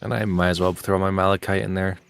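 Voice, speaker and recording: A man's voice: deep voice, quick pace, gravelly, clear, resonant tone. A man chatting casually and jokingly with a co-streamer while playing a game. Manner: relaxed, joking, laughs often. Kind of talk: casual gameplay chat.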